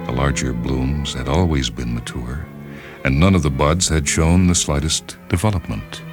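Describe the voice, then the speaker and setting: A man reading literary fiction as a narrator, in a measured, deliberate and solemn dramatic style. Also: very deep voice. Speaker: narrator, short story reader. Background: background music.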